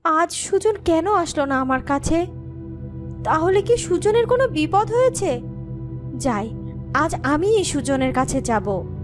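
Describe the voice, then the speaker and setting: A woman's voice: sits high, sing-song, measured pace, long pauses, music in the background. A woman voicing a possessive, vengeful serpent queen in a fairy-tale cartoon, with an imperious, dramatic manner. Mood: worried longing.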